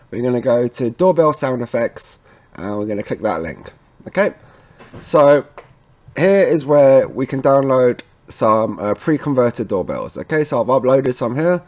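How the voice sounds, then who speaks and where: A man's voice: mid-range voice, a little mellow. A man presenting a casual step-by-step tech tutorial in an informal, chatty way, often checking in with the listener as he goes. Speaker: presenter of a product tutorial series.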